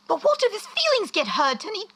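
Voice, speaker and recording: Shrill scheming young fiancée. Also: female voice, wildly swooping pitch, normal speed, fairly bright tone, heavily acted. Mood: scheming, giddy. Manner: affected, melodramatic. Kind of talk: parody radio play acting.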